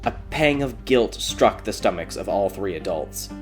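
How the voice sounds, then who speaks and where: A man's voice: fairly low pitch, animated, measured pace, soft background music. A man reading a scripted fairy tale as a dramatic audiobook narrator.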